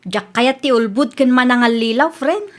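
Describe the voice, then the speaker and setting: A woman acting as a high-voiced indignant young Ilocano girlfriend. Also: moderate pace, close to an everyday voice. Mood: disappointed. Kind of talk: radio drama acting.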